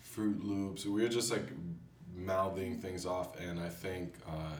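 A man chatting casually as a music producer guest, telling stories in a loose, halting way. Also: low-pitched, steady tone. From born in Haiti. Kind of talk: casual chat. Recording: roomy, distant mic with faint background noise.

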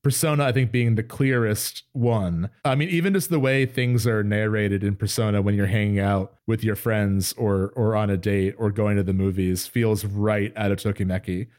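A man's voice: on the low side, steady tone, normal speed, few pauses, darkish tone. Enthusiastic man chatting on a podcast. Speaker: podcast co-host. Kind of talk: casual video game discussion.

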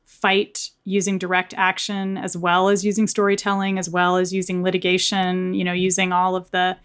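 A woman's voice: fairly low pitch, monotone, moderate pace, a bit bright, clean tone. Thoughtful American woman in interview. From United States. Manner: earnest, reflective, frequent "like" and "you know". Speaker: author being interviewed.

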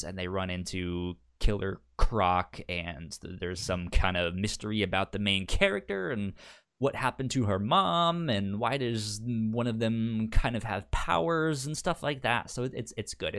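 A man's voice: fairly low pitch, expressive, unhurried, fairly bright tone, clean tone. A man co-hosting a podcast in casual chat, who stammers and repeats the first sounds of words. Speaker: podcast co-host.

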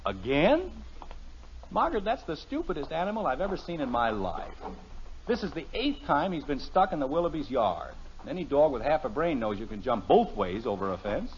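A man's voice: a little high, big pitch swings, moderate pace. A man playing an exasperated, sarcastic middle-aged suburban father in a 1950s radio comedy. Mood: grumbling. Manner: blustering, sarcastic. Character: exasperated, grumbling suburban family father, secretly soft-hearted. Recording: muffled, noisy 1950s radio recording.

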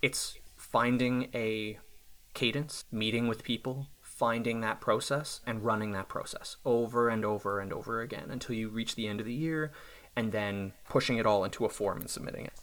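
A man in his thirties, a self-employed software developer, talking casually and thoughtfully about his work, often restarting his sentences. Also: lowish voice, moderate pace. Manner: thoughtful, reflective, with restarts and small laughs. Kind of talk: casual podcast conversation.

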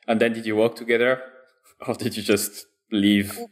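A man's voice: fairly low pitch, little pitch movement, moderate pace. A man interviewing a guest, asking curious, friendly follow-up questions in a casual chat.

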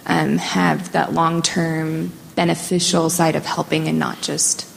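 A woman's voice: low voice, level tone, unhurried, vocal fry. A woman earnestly explaining her view to a group as a discussion participant. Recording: echoey hall, faint noise.

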